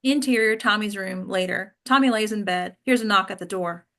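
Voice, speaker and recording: A woman's voice: mid-range voice, normal speed, pushed and bright. A woman leading an actors' table read, reading and chatting in an informal, encouraging way. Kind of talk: script reading and group discussion.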